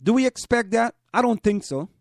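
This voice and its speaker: High-pitched man, deliberate talker. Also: expressive, no echo, studio quality. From Trinidad and Tobago. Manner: deliberate, repeats phrases for emphasis. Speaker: host of a community parents' talk show. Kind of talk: spoken commentary to listeners.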